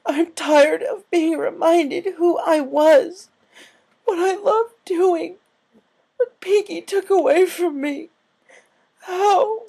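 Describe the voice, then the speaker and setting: Man putting on a tearful, high-pitched young pegasus mare. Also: creaky, darkish tone, heavily acted. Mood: anguished sobbing. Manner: halting, sobbing, stammering delivery. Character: traumatized young female pegasus pony, tearful and broken.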